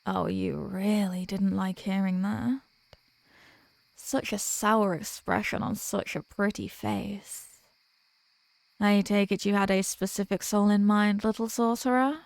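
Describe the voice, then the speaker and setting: A worldly, wry archdemoness , acted by a woman, speaks in a medium-pitched voice with coy, smug formality. She teases and flirts in the style of an intimate roleplay audio drama. The sound is dry, with no room around it. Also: fairly even intonation, measured pace, creaky, dry recording. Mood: teasing, intrigued.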